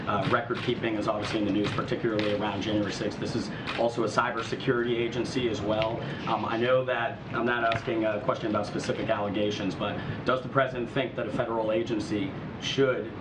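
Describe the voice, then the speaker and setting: A man's voice: on the low side, little pitch movement, fast. A man reporter asking follow-up questions at a press briefing, persistent and hedging his wording. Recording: echoey room with audible background noise.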